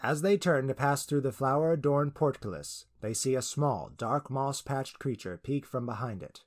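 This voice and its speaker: Mid-pitched male fantasy narrator. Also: moderate pace. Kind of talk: scripted fantasy story narration.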